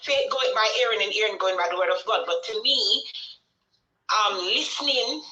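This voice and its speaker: A woman's voice: mid-range voice, normal speed, pushed and bright, echoey room, slight background noise, low-quality recording. A Jamaican woman hosting a live-stream chat, talking earnestly and at length, slipping into patois now and then. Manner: rambling, earnest, slips into patois.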